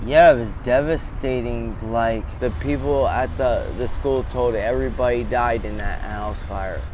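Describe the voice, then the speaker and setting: A man in his thirties, born in Czechoslovakia and raised in Baltimore, telling his life story in a halting, plainspoken way, with hiccups. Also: medium pitch, steady tone. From born in Czechoslovakia, raised in Baltimore, Maryland. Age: 30s. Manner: halting, plainspoken, pauses between phrases. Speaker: interviewee. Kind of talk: candid personal storytelling. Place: outdoors on a city street. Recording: outdoor street noise. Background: passing traffic.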